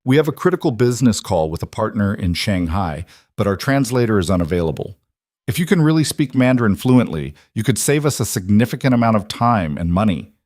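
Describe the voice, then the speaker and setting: A stern, controlled billionaire businessman, read by a man in a dry, close storybook voice. He speaks curtly and low, a man used to giving orders. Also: big pitch swings, fairly quick, a little mellow, no room sound. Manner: curt, commanding. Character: stern controlled wealthy businessman, single father.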